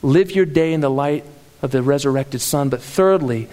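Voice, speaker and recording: High-voiced preacher in a reverberant hall. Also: male voice, moderate pace, mellow. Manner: earnest, builds emphasis with repeated phrases. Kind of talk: sermon.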